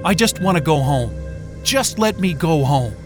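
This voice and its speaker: A man's voice: on the high side, lively intonation, a little slow, music in the background. An actor playing a hot-tempered, sarcastic male scientist in an audio drama, agitated, profane and combative. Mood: weary, pleading. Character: hot-tempered, sarcastic male astrophysicist trapped in a remote station.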